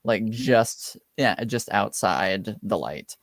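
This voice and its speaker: A man acting as game master, improvising narration for a tabletop game in a halting way with many pauses. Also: fairly low pitch, expressive, dry recording, clean studio sound. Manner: halting, frequent pauses and filler sounds.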